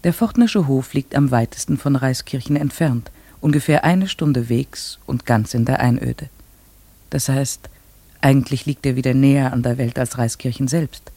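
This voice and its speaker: An actress playing an earnest, strict village schoolteacher in a radio play, speaking in a measured, precise way. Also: female voice, rumbling low, dark tone, no echo. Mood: reflective, measured. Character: earnest, strict village schoolteacher, a woman narrating her memories. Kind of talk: classic German radio play acting, literary narration.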